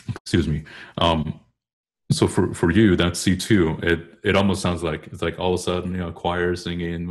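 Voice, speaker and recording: A man explaining vocal technique casually, often rephrasing himself as he goes. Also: deep voice. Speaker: choral bass singer discussing vocal technique.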